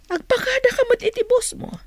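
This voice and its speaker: A woman's voice: very high voice, fairly quick, no echo, studio quality. A woman playing a scheming, pushy mother in a radio drama, speaking with an Ilocano accent. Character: scheming, pushy mother coaching her grown daughter.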